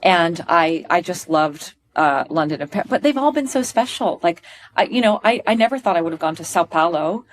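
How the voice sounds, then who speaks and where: A middle-aged woman from Pennsylvania, talking as a guest on a podcast. Her voice sits a little low, and she gushes with animated energy, stumbling over restarts and fillers as she tells her stories. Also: fairly quick. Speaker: podcast guest, stage performer. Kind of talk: casual interview chat.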